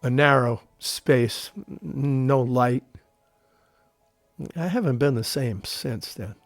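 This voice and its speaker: An actor playing a grown war veteran, curious yet uneasy, with a plainspoken conversational manner in an audio drama. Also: male voice, medium pitch, slow, no echo, soft background music. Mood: troubled, confessional.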